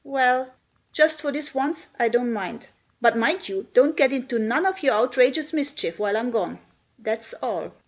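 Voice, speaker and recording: Woman playing a grumpy high-voiced housemaid. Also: animated, unhurried. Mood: grudging. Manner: blunt, scolding, colloquial. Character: grumpy, suspicious working-class housemaid, female, scolding. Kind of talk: acted children's storybook reading.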